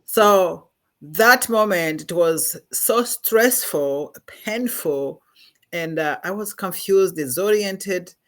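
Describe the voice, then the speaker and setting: A middle-aged woman from Rwanda with a Rwandan accent, telling her story as a podcast guest in an earnest, measured way with many pauses and fillers. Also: on the low side, expressive. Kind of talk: personal testimony in a podcast interview.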